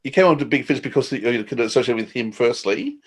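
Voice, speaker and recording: Male podcast host, mid-pitched and quick, chatty interviewer who stumbles into his questions. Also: expressive, slightly rough. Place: remote video call.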